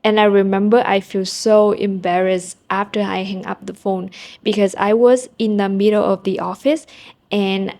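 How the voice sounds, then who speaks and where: A young Vietnamese woman speaks English with a clear Vietnamese accent, chatting straight to her camera. Her tone is candid and a little self-deprecating, with casual fillers scattered through her stories. Her pitch sits in an ordinary middle range. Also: steady tone, moderate pace, a little mellow. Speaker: vlogger. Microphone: small handheld camera mic.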